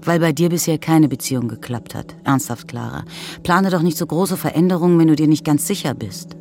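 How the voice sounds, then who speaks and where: An actress playing a weary, impatient, sharp-tongued woman in a radio crime drama, curt and exasperated. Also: low-pitched, brisk, soft background music.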